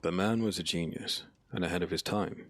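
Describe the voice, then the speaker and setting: A man narrating a dark fantasy audiobook in a solemn, measured, dramatic manner. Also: low voice.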